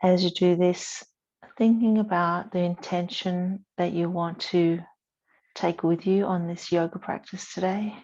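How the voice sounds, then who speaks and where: A woman yoga instructor leading a guided relaxation practice in a soothing, unhurried manner, with gentle pauses between short cues. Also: fairly low pitch, level tone, highs cut off.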